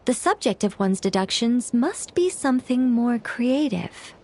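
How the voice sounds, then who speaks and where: A woman's voice: fairly high pitch, expressive, unhurried, a little mellow. A woman playing an eccentric, theatrical novelist in a video game, speaking formally and with great self-assurance. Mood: matter-of-fact, musing.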